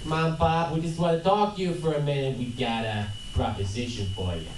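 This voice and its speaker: A man's voice: on the high side, a little fast, natural voice. A man playing a cocky, bullying gangster boss in an amateur radio play. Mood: menacing. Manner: menacing, tough-guy. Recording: roomy, distant, noisy live panel recording.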